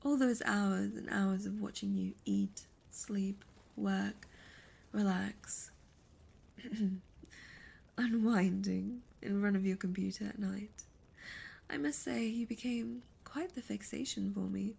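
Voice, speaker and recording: Breathy, seductive demon succubus, acted by a woman. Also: mid-range voice, fairly even intonation, takes it slowly, darkish tone, heavily acted. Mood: intimate, menacing. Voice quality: breathy, often slipping into whisper. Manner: formal, theatrical, teasing diction. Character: seductive, imperious female demon succubus, menacing yet doting. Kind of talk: ASMR roleplay, whispery dramatic monologue.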